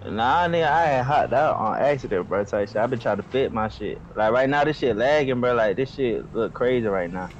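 A man's voice: mid-range voice, lively intonation, a little fast, hardly any pauses. An American man talks casually and slangily as a player in a game. The sound is dull and lo-fi, with faint noise behind him. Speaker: fellow online player.

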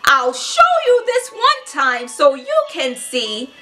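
A cocky storybook hare, put on by a woman, bragging in a very high, swooping voice. The taunts are exaggerated and sing-song, bright and full of swagger. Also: wildly swooping pitch, slow, soft background music, exaggerated voice. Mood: boastful. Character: boastful, speedy male hare, taunting and cocky. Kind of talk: children's storybook read-aloud.

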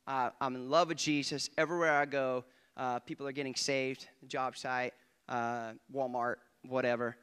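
A young man, a guest preacher, giving an excitable testimony in church on a handheld mic, often laughing and swinging between quiet asides and loud bursts. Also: medium pitch, moderate pace, clean tone. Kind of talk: testimony sermon. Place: church stage before a congregation. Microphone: handheld microphone.

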